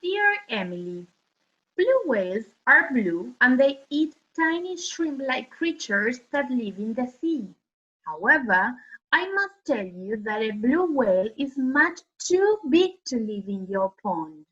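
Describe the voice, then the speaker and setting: A woman teacher reading a children's story aloud in a careful, measured and expressive way. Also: high voice. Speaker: primary school teacher, co-reader. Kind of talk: slow read-aloud of story letters for children. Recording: dull lo-fi sound.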